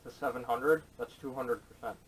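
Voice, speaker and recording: A man's voice: medium pitch, brisk, a bit bright, slightly rough. A man co-hosting a casual podcast with blunt, contrarian interjections and dry jokes, recorded on a camera microphone. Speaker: podcast co-host. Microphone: camera mic. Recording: poor salvaged camera audio.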